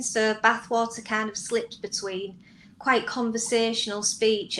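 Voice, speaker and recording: A woman's voice: mid-range voice, fairly bright tone. Woman writer interviewed, some background noise. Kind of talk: conversational interview answers.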